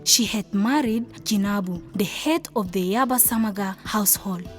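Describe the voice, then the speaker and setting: An actress playing a timid, dreamy young village wife from South Sudan in a radio drama, earnest in manner. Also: female voice, medium pitch, moderate pace, faint music behind, lightly acted. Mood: wistful storytelling. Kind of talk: radio drama narration and acted dialogue.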